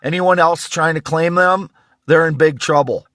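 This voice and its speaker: A middle-aged man with a slight rasp, giving a halting, repetitive, insistent monologue as he vlogs from a pickup truck. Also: a little high, fairly bright tone, no room sound, studio quality. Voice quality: slightly raspy. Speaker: vlogger. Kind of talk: rambling monologue to camera.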